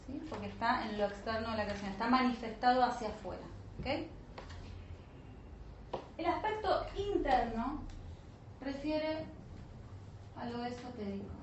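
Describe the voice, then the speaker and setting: A woman teaching a class in an explanatory lecture style, often pausing with short check-in questions. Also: high-pitched. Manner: didactic, frequent check-in questions. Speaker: teacher of an online spiritual alchemy course. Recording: roomy echo, dull lo-fi sound, faint noise.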